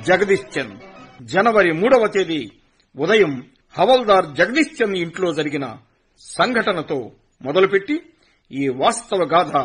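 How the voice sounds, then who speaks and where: Solemn guy narrating a radio play, higher voice, soft music under. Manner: solemn, measured. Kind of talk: scripted dramatic narration.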